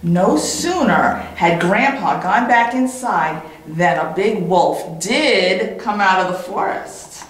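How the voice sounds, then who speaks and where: A woman reading a children's story as a teacher, in an expressive, animated way with dramatic pauses and repetition. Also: medium pitch. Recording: very echoey room.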